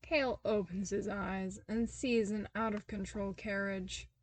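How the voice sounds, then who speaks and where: A woman reading a fan fiction story aloud as a podfic, with an expressive delivery that bursts into exasperated comic outbursts. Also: mid-range voice, a little slow, a little hiss or hum. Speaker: podfic reader.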